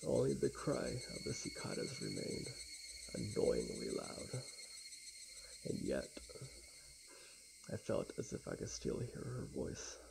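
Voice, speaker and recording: A quiet, laid-back young man with a gravelly, often breathy voice, streaming a game with casual talk and soft reading aloud. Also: fairly low pitch, background noise. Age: young adult. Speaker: let's play streamer. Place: small indoor room with blinds.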